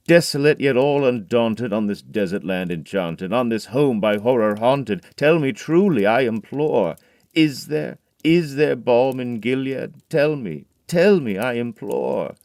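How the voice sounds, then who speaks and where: A man voice acting a grieving, haunted man in an audio drama, reading dramatic verse that swings from hushed brooding to loud, pleading outbursts. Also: medium pitch, lively intonation, a little slow, no room sound. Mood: anguished.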